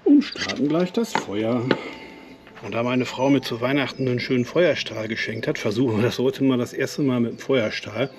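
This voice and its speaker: A man's voice: medium pitch, normal speed, background noise. A man from Westphalia with a Westphalian German accent, casually explaining an outdoor cooking demonstration with fillers and small self-corrections. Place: outdoors at a camp stove. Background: music and cooking sizzle.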